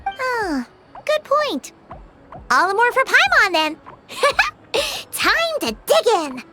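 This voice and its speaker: A woman voicing a tiny, excitable, food-loving fairy companion in a video game, bubbly and childlike, full of exclamations. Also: extremely high pitch, takes it slowly, over loud music, heavily acted. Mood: greedy delight. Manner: bubbly, exclaiming, speaks of self in third person.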